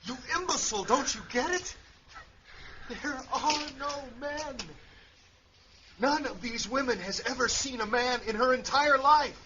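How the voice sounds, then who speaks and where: A man's voice: extremely high pitch, measured pace, bright, forceful tone, full character voice. An actor playing a sober, bitter male doctor in a radio play, speaking with exasperated emphasis. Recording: dull lo-fi recording, faint noise.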